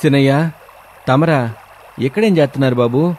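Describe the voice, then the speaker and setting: A man's voice: mid-range voice, moderate pace, darkish tone, slight background noise, lightly acted. A man playing a humble, folksy village boatman who speaks deferentially in a storybook tale. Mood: respectful, puzzled. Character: humble rustic boatman, respectful to young master.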